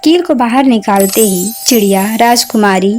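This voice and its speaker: Steady woman storyteller over soft music. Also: fairly high pitch, normal speed. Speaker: narrator. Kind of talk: storybook narration.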